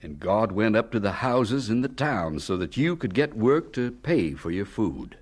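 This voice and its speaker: A man acting as a solemn, gentle American announcer and interviewer in a radio drama. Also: fairly low pitch, big pitch swings, measured pace, slightly rough, exaggerated voice. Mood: gentle probing.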